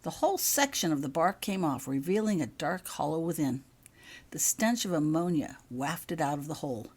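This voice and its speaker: Low-pitched, very animated woman storyteller. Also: normal speed, slightly rough. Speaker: author reading own story. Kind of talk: expressive storybook narration.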